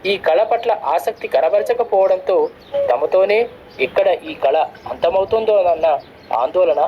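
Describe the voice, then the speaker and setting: A man's voice: high voice, a little slow. A man with a Telugu accent reading a formal scripted report as a radio presenter. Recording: dull FM radio playback, faint noise.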